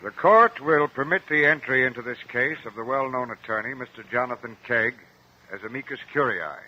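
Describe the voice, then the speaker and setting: An actor playing a stern, fair-minded judge, speaking formally and with authority in a radio courtroom drama. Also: male voice, medium pitch, fairly quick, close to an everyday voice. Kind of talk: old-time radio courtroom drama acting. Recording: dull, noisy old radio recording.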